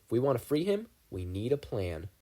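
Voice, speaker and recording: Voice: medium pitch, big pitch swings, mellow, close to an everyday voice. A reader voicing an anxious, guilt-ridden teenage boy. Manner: anxious, rapid questions.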